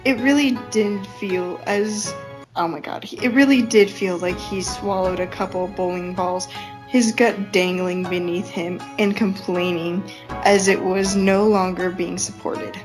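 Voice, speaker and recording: A woman with a medium-pitched voice reads aloud as a podcast host. Her delivery is informal, mixing narration with offhand commentary. Music is clearly audible behind her. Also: steady tone, a little slow. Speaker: podcast host reading fan fiction. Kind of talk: casual reading aloud with banter.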